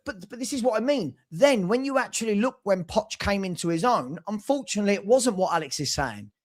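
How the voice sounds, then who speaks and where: A man on a football fan livestream, arguing his point in a brisk, reasoned way and checking in with rhetorical tag questions. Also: high voice. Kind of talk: casual argumentative chat.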